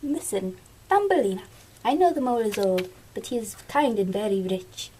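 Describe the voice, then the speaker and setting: A woman's voice: mid-range voice, big pitch swings, moderate pace, warm tone, slight background noise, natural voice, low-quality recording. A woman voicing a practical, pushy, motherly old mouse in a storybook puppet show, coaxing and insistent.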